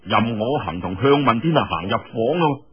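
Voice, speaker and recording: A man's voice: medium pitch, lively intonation, normal speed, over music. A man with a Cantonese accent telling a story in a theatrical, colloquial way, with explanatory asides. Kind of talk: lively Cantonese storytelling of a martial arts novel. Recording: muffled, dull lo-fi audio.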